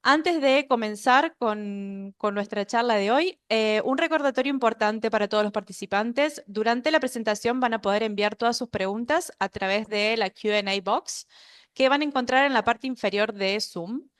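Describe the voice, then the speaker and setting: Clear, higher-voiced woman hosting a webinar. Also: normal speed. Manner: polite, formal. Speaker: webinar host. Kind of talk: scripted event introduction.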